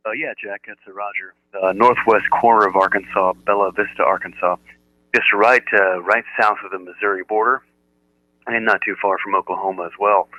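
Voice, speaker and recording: An American man chatting casually as an amateur radio operator, with a halting delivery. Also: lowish voice, fairly even intonation, no echo. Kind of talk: casual ham radio chat. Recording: narrow-band, telephone-like radio audio.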